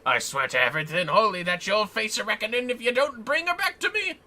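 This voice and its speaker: A man's voice: high voice, expressive, brisk, bright, forceful tone, full character voice. A man voicing an outraged, melodramatic young man in a fantasy game, ranting shrilly. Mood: anguished fury. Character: outraged, wronged young man, loudly cursing and lamenting.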